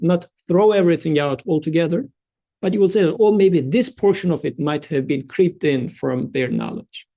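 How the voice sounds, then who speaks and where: A man with a high but warm, mellow voice explains a text to a small study group. He talks in plain, conversational terms and keeps linking ideas with "so" and "like".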